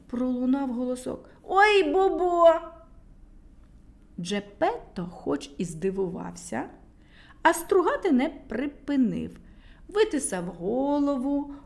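A woman reading a children's fairy tale aloud, animated and speaking directly to the children listening. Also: sits high. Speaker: storyteller.

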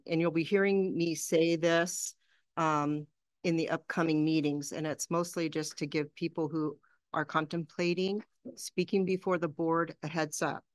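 A woman's voice: low-pitched, no echo. A woman chairing a school board meeting, running through motions and public comment rules in a procedural way with frequent fillers. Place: large boardroom.

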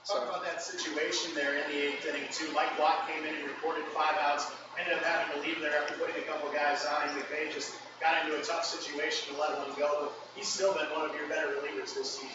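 A man hosting a post-game press conference, asking questions in a smooth announcer delivery. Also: on the high side, little pitch movement, fast talker, hardly any pauses, muffled recording. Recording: echoey room, far off-mic, noisy.

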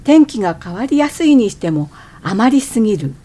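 Casting: irritable young wife, radio drama, high pitch, animated and exclamatory. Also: female voice, normal speed. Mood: wistful. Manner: expressive, exclamatory, emotionally changeable. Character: irritable, changeable young wife, quick to flare up. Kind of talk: radio drama acting with inner monologue.

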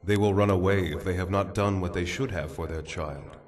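An actor playing a solemn man who explains the judgment of souls in slow, measured words. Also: low voice, fairly even intonation, music in the background, natural voice. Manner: grave, slow, measured pronouncements. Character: solemn man guiding a soul through judgment. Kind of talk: dubbed drama acting.

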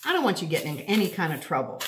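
Woman, 50s, cooking host, casual and upbeat, lowish voice, roomy kitchen sound, faint hiss. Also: sing-song, rapid-fire. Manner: chatty, upbeat, addresses viewers directly. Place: home kitchen, indoors. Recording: echoey kitchen, faint noise.

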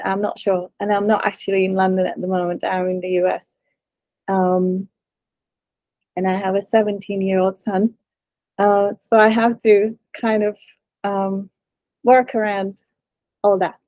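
A woman speaks from her living room over a video call, pausing with fillers as she thinks aloud. The sound is dry and close, with a middle-range voice. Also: medium pitch, a little mellow, dry recording, highs cut off. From Hungary. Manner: thoughtful, hesitant, many fillers. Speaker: prospective student. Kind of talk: casual introduction on a video call. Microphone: video call laptop mic.

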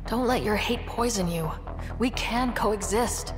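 An actress playing a cool, plucky young space explorer in a video game, speaking in a dry, direct, unfazed way. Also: female voice, mid-range voice, a little slow, music in the background, natural voice. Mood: firm. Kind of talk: video game character dialogue.

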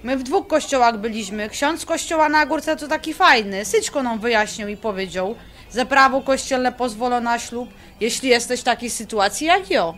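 A high female voice acted by a woman: a young fiancée in a radio play, perky and eager, folksy in her speech and cheeky with her answers. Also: moderate pace, fairly bright tone, close to an everyday voice. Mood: earnest. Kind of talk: radio drama acting.

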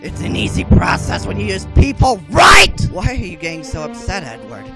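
A man's voice: sits high, huge pitch swings, normal speed, vocal fry, over music, heavily acted. A man in an anime fan dub playing a coldly self-justifying alchemist, smug and taunting.

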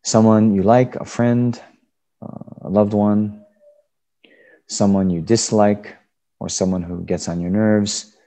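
A man leading a guided meditation as a Buddhist teacher, giving unscripted instructions in halting phrases. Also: low-pitched, mellow, low-quality recording.

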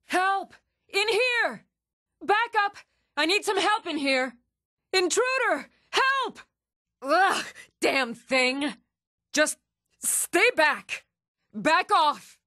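A woman voicing a fierce warrior in a fantasy video game, crying out in short, forceful, isolated shouts. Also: very high-pitched, very animated, drawn-out words, long pauses, heavily acted. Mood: alarmed, fighting.

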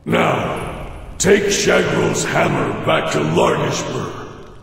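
An actor playing a wry, domineering Daedric lord in a fantasy game, gravelly and imperious, with a booming reverb. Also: male voice, huge pitch swings, a bit bright, exaggerated voice. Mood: smug, commanding. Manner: slow, imperious. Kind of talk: scripted fantasy game dialogue. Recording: distant voice in long echoing reverb.

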